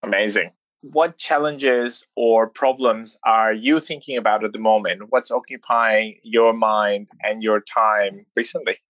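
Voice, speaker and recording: Male host, enthusiastic, mid pitch, dry close sound. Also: steady tone, a little slow, a bit bright, no echo, rough recording. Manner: upbeat, enthusiastic affirmations. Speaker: interview host. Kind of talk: interview questions.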